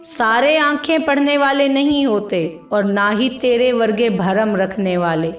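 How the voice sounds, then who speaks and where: A woman's voice: on the high side, unhurried, close to an everyday voice. A woman narrator voicing an earnest, heartfelt grown village man talking to his old friend in a story. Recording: echoey voice over faint music.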